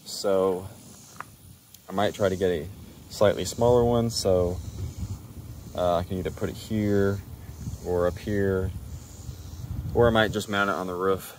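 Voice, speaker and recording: A man's voice: low voice, fairly even intonation, unhurried, long pauses, slight background noise. A man presenting a casual, informal DIY walkthrough, explaining things step by step as he goes. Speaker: DIY car video presenter.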